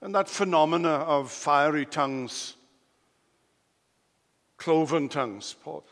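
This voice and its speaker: Welsh preacher with booming hall echo. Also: male voice, slow pace. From Wales. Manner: emphatic, swings between loud declarations and quiet asides. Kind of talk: expository sermon. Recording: long hall reverb.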